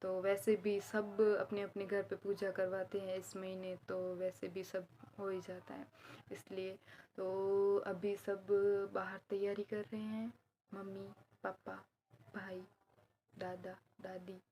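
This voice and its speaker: A young woman vlogging casually at home, a little hoarse from a sore throat, chatting at length as she explains family customs. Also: mid-range voice, completely flat delivery, takes it slowly, a little mellow, a little hiss or hum. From Gujarat. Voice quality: slightly hoarse. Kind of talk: casual vlog chat. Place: indoors at home, inner room.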